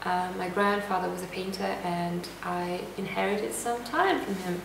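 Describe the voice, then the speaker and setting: A young woman from Lithuania vlogging a casual to-camera Q&A at home, calm and reflective as she reads out each question and answers it. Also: fairly low pitch, moderate pace, creaky, background noise. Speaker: lifestyle vlogger. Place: indoor room at home. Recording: echoey room, voice a bit distant.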